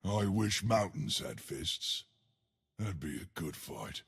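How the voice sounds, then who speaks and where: A man voicing a huge, boastful, simple-minded stone giant from a video game, eager for a fight, with a gravelly, husky voice and a slow, hammy delivery. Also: sits low, animated, a bit bright, full character voice. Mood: cheerful. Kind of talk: video game character voice lines.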